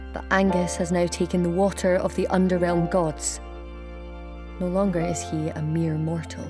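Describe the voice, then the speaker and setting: An actress playing a wounded, proud and suspicious young goddess, narrating her own story in an intimate, hushed manner in an audio drama. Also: fairly low pitch, lightly acted. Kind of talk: first-person audio drama narration and dialogue. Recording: music under the voice.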